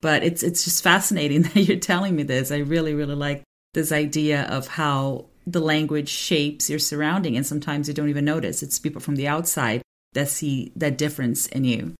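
Brazilian woman with a low voice, laughing. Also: fairly even intonation, normal speed, hardly any pauses. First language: Portuguese. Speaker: podcast host, translator. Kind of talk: casual conversation.

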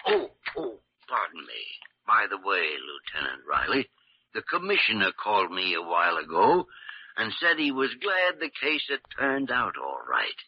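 A man playing a gruff senior doctor with dry, blustery authority in an old-time radio drama. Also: fairly low pitch, very animated, normal speed, exaggerated voice. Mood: dryly amused. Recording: muffled vintage radio recording.

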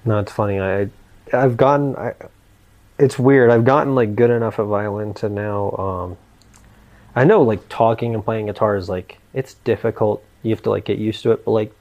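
An American man hosting a podcast, telling relaxed stories with quick restarts. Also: fairly low pitch, muffled recording. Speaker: podcast host, violinist. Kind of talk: casual chat.

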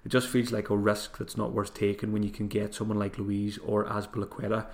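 A man hosting a solo podcast, thinking aloud with frequent restarts, his voice going hoarse. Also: fairly low pitch, flat delivery, fairly quick, darkish tone. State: voice getting hoarse. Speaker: podcast host. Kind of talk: solo podcast advice talk.

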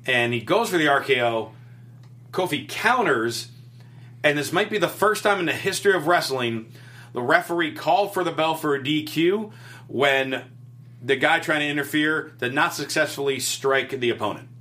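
Bright-voiced man recapping wrestling. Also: fairly high pitch. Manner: rapid play-by-play recounting. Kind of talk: casual recap chat.

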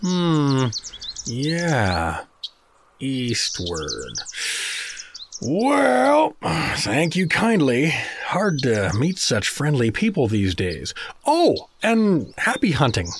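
An actor playing a friendly, hapless middle-aged American dad from South Dakota, chummy and rambling, in a comedic fantasy audio drama. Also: male voice, mid-range voice, huge pitch swings, drawn-out words, a little hiss or hum, exaggerated voice. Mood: folksy, friendly.

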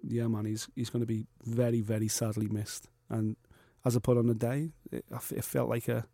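A man's voice: medium pitch, level tone, a little fast, gravelly, mellow, no echo. A man co-hosting a boxing podcast in casual chat, blunt and jokey, with slang like "mate".

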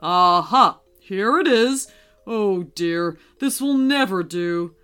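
A woman voicing a kindly but stern old Santa in a children's storybook, with a measured, authoritative manner. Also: mid-range voice, animated, a bit bright, clear, resonant tone, faint music behind, pitched below the performer's own voice. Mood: reassuring, concerned.

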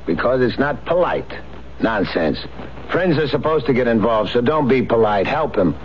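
A man's voice: mid-range voice, animated, normal speed. A man reading a direct public service appeal in plain-spoken, emphatic short phrases. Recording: muffled, faintly hissy radio recording.

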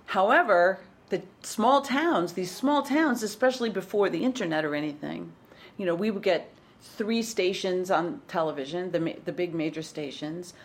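A woman's voice: fairly low pitch, normal speed. A woman in her fifties from Wisconsin with a Midwestern accent, a guest recalling her farm childhood in casual interview chat, reflective and full of restarts.

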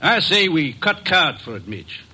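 An actor playing a cool, persuasive business partner, speaking calmly and matter-of-factly in a 1940s radio drama. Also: male voice, a little high, a little slow, breathy edge, exaggerated voice. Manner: calm, persuasive, matter-of-fact.

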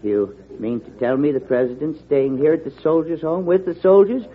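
Male actor as folksy, hesitant caller at the door, classic radio drama; raised pitch, tinny old recording. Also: normal speed, a little hiss or hum, close to an everyday voice. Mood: hesitant, curious. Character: hesitant, polite male visitor, an old acquaintance.

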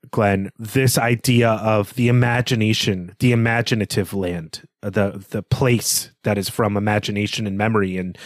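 A man's voice: on the low side, no room sound. Bookish man, dry close sound. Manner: earnest, bookish, explanatory. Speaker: podcast co-host. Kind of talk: literary discussion, analytical commentary.

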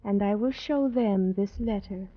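An actress playing a bold, scheming young Indian village woman in a 1950s radio drama, speaking in a deliberate, theatrical, teasing way. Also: medium pitch, close to an everyday voice. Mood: calmly threatening. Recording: dull old radio broadcast recording.

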